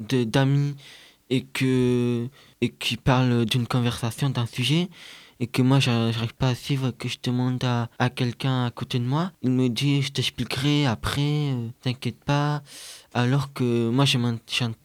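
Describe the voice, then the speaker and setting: A man guest on a radio discussion who speaks haltingly, with many fillers and pauses. His voice stays flat in tone and sounds dry and close. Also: mid-range voice, no echo.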